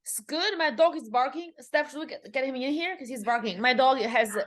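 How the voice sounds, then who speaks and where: A woman's voice: sits high, brisk, fairly bright tone. A woman from China with a Chinese accent, a stand-up comedian chatting as a guest from her home office, upbeat and full of fillers. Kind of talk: casual interview.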